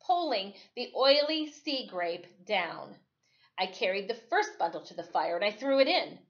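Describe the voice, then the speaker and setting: Female storyteller for children's fiction read-aloud: medium pitch, dramatic, measured phrasing, muffled low-fidelity recording. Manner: dramatic, deliberate phrasing with acted-out exclamations. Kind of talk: expressive first-person story read-aloud. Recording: dull lo-fi recording.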